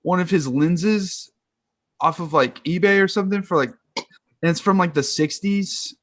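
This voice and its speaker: A man's voice: high-pitched, normal speed, no room sound. Guy in his 20s geeking out on films, rambly and slangy, dry call audio. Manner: rambling, enthusiastic slangy talk. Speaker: film podcast co-host. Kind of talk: casual film chat. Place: remote video call.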